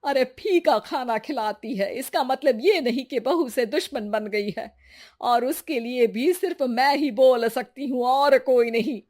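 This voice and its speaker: A woman's voice: sits high, measured pace, darkish tone. A woman playing a nagging, dramatic middle-aged Indian mother-in-law in a family story cartoon, complaining and wheedling theatrically. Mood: scolding.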